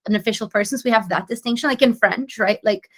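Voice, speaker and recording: A woman's voice: medium pitch, quick pace. A woman in her twenties from Slovenia, chatting as a guest in a casual interview, bubbly with many fillers and restarts. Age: 20s. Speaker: guest singer-songwriter.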